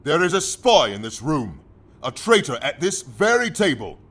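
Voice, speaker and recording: Radio play villain: imposing council leader, gravelly-husky, dramatically varied, mockingly polite and commanding. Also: male voice, a little high, sing-song, moderate pace, a bit bright. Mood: ominous accusation. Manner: commanding, theatrical, mockingly courteous.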